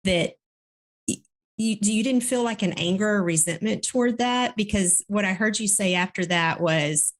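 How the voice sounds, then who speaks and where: A woman's voice: medium pitch, moderate pace. A woman co-hosting an interview, asking long searching questions with restarts. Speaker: podcast co-host.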